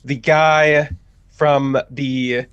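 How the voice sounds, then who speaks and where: A man's voice: medium pitch, little pitch movement, extremely slow, fairly bright tone, clean tone, no room sound, muffled recording. A man taking part as a guest in a casual panel conversation. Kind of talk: casual chat.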